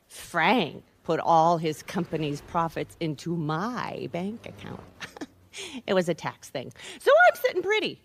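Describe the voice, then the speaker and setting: An actress playing a cynical, brazen wife hiring a private detective in a radio mystery, with a wry, worldly manner. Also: female voice, lowish voice, sing-song, slow pace, a bit bright, heavily acted. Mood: blasé, sardonic.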